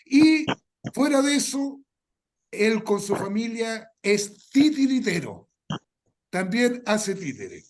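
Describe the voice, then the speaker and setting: A man's voice: sits high, very animated, slow pace. A man hosting a workshop, informally introducing a guest.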